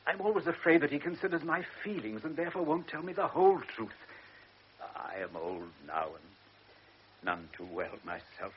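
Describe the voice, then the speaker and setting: A man's voice: mid-range voice, lightly acted. A man playing a frail anxious old baron. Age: older. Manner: earnest, stammering, drops to hushed tones. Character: frail elderly baron, anxious devoted father. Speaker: aging baron and anxious father. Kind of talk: classic radio drama acting. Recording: lo-fi radio recording with faint hiss.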